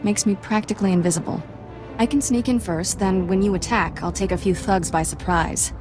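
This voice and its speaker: A woman's voice: mid-range voice, fairly quick, close to an everyday voice. An actress playing a cocky, wisecracking young female agent in a video game, with a wry, breezy manner. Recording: music playing behind.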